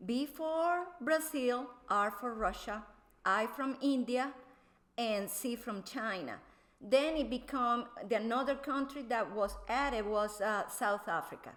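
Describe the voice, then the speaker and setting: A Venezuelan woman speaking English as a second language, explaining things to a show host in a halting way with many fillers. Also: on the high side, a bit bright. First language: Spanish. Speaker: guest. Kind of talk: casual explanatory chat.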